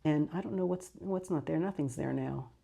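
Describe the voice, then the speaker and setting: Older woman reminiscing, low gravelly voice. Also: moderate pace. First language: Greek. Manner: rambling, fond recollection with soft laughs and self-corrections. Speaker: interviewee. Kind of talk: casual reminiscing interview.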